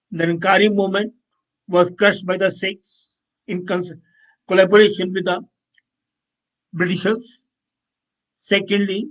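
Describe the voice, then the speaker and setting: Male commentator, notably high voice, emphatic and opinionated, off-the-cuff delivery. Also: a bit bright, low-quality recording. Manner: emphatic, opinionated, self-correcting. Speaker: commentator and host answering viewer comments. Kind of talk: unscripted political commentary.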